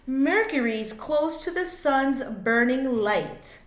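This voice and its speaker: A woman reading a children's picture book aloud like a teacher, in an expressive, sing-song way with drawn-out words. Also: high voice. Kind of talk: read-aloud children's storytelling. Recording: echoey room.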